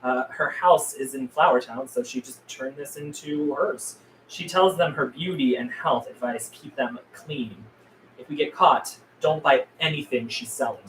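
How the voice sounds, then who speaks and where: A man playing a wry, protective and practical survivor in a drama script read, brisk and matter-of-fact. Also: mid-range voice, a bit bright, lightly acted. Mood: wry explaining. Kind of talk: script table read acting. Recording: echoey room with background noise.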